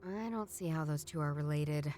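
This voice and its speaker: Young woman detective voiced by an actress for a noir game: low, dark tone, dry musing narration, faint music bed. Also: moderate pace, close to an everyday voice. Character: wry young woman detective chasing grandpa's riddles. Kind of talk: scripted game voice-over, inner monologue.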